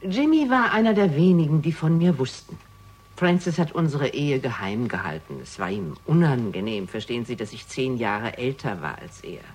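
A woman playing a candid, quick-witted middle-aged widow in a German radio crime drama, frank and expressive. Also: rumbling low, husky, full character voice. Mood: candid, wistful.

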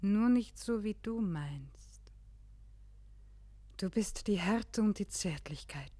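A wistful schoolteacher, no longer young, in a radio drama. She speaks slowly and hesitantly in a dreamy, yearning way, recorded close and dry. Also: mid-range voice, animated, mellow, no room sound. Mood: intimate, yearning. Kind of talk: German radio play acting.

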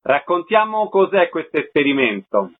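A man's voice: a little high, big pitch swings, moderate pace, breathy edge, phone-quality sound. A man hosting a radio interview programme, announcing and putting questions to guests.

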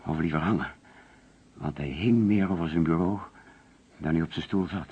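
An actor playing an agitated middle-aged businessman under police questioning in a radio drama, defensive and hesitant, with a husky voice on an old recording. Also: low voice, normal speed, highs cut off.

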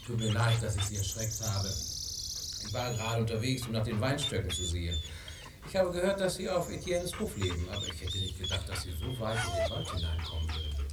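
A man's voice: on the low side, animated, fairly quick, very noisy, close to an everyday voice. A man playing an insistent village café owner in a German radio drama, mock-polite with a faint threat underneath. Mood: probing, veiled threat.

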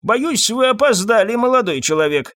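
A man's voice: high-pitched, expressive, normal speed, a bit bright, dry recording, clean studio sound, pitched above the performer's own voice. A man voicing a frail, fussy old pharmacist in an audiobook, with a trembling, querulous, muttering elderly voice.

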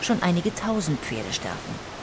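A woman narrating a history audiobook in a calm, even-paced reading style. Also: fairly low pitch, moderate pace, background noise. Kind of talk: scripted documentary narration.

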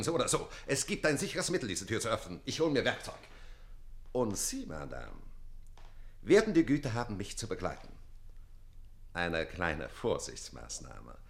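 An actor playing a jealous, commanding aristocratic count in a radio play, speaking formally and imperiously. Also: male voice, on the low side, vocal fry, a bit bright, heavily acted. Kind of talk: classic German radio play acting.

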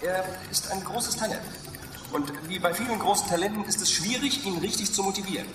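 Actor as gruff, impatient coach, echoey hall. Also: male voice, high-pitched, brisk, recorded from a distance, background noise, close to an everyday voice. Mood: weary, earnest. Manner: brusque, exasperated, commanding. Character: gruff, impatient male sports coach, irritable and strict. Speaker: sports coach. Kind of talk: radio drama acting.

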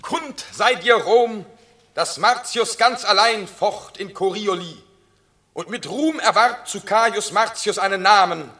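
An actor playing a Roman herald making a slow ceremonial proclamation in a radio play. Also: male voice, high voice, sharp tone, heavily acted. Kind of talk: classic radio play acting.

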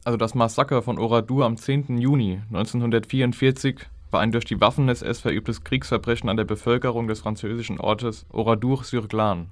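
A man's voice: medium pitch, steady tone, a little fast, few pauses, dry recording, natural voice. A man playing a sober documentary commentator in a radio play, explaining history in a factual, scripted way. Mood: sober, explanatory. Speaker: explanatory narrator.

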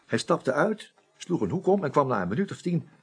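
An evasive office man questioned in a radio play. His husky voice moves up and down a lot as he hesitates, rambles and defends himself. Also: fairly high pitch, big pitch swings, fairly quick. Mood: puzzled recounting. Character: evasive male office superior being questioned as witness. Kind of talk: Dutch radio play acting.

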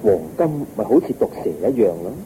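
An actor playing a serene, wise Buddha in a radio drama, teaching in a measured, solemn way with a Hong Kong Cantonese accent. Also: male voice, mid-range voice, sing-song, gravelly, warm tone. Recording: muffled radio recording, faint noise.

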